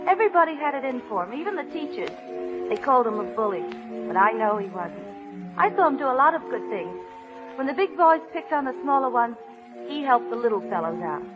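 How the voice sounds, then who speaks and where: A woman's voice: high voice, big pitch swings, brisk. An actress playing a timid, dreamy young woman who speaks hesitantly and earnestly in a 1930s radio drama. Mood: wistful. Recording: muffled old radio recording with music.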